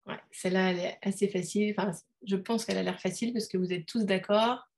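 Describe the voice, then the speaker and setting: A woman's voice: medium pitch, fairly even intonation, quick pace, slight background noise. A woman leading an online teaching workshop over a video call, talking casually with many fillers and restarts. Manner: hesitant, many fillers, conversational. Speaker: workshop leader.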